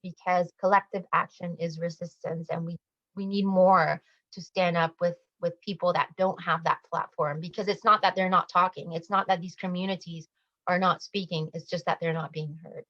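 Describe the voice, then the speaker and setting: A woman's voice: lowish voice, steady tone, normal speed, fairly bright tone. A woman speaking as a campaigner and radio guest, giving earnest interview answers on slightly dull audio.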